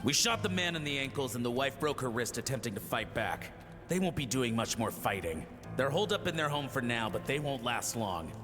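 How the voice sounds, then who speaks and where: A man's voice: mid-range voice, lively intonation, brisk, close to an everyday voice. An actor playing a grim soldier who reports to his commander in a matter-of-fact, dutiful way. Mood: reporting. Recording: game audio with reverb and music.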